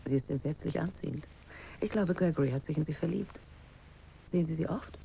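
A woman's voice: sits low, brisk, gravelly, warm tone, a little hiss or hum, rough recording. An actress in a German radio drama playing a jealous, restless married woman who needles others in an intimate, pointed way. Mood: curious, probing.

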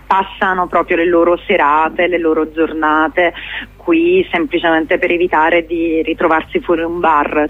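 Slow-paced woman on a phone-like line. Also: lowish voice. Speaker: interview guest, theatre company director. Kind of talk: informal radio interview. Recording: roomy, tinny phone-like line on radio.

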